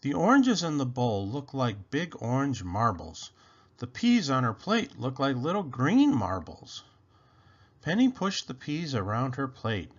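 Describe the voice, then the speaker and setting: A man reading a children's story aloud in an expressive, animated, deliberate way. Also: medium pitch, highs cut off. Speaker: storybook read-aloud host.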